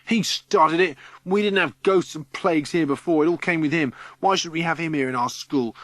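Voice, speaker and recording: A man voicing a vicious, jeering schoolboy ringleader who shouts and chants taunts in an audiobook. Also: on the high side, normal speed, no room sound, voice pushed up, natural voice. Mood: angry, jeering. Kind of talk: audiobook character acting.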